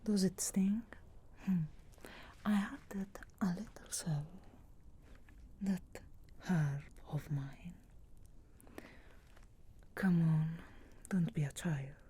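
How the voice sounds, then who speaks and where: A woman acts out a haughty young witch in a fantasy ASMR roleplay. Her voice is hushed and very breathy, mostly whispered, with a low, soft tone. She speaks extremely slowly, with deliberate pauses and musing hums, sarcastic yet grudgingly caring. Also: full character voice. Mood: gently scolding.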